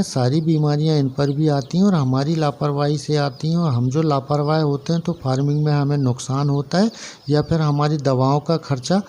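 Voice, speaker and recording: Mellow-voiced man explaining poultry farming. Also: a little high, a little hiss or hum. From central India. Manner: earnest, explanatory, lists items one by one. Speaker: poultry farmer and channel host. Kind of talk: casual instructional talk to camera.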